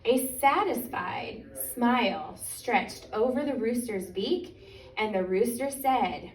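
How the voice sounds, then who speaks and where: High-voiced woman reading a children's story, echoey. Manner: expressive, deliberate, stretching key words. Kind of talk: animated picture-book storytime reading. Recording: echoey room, distant microphone.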